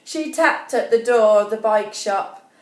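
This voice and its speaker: Slow echoey woman storyteller for children. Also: fairly high pitch. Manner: expressive, deliberate, asks listeners questions. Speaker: primary school story reader. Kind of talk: children's storytelling, scripted narration. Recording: echoey room, distant mic.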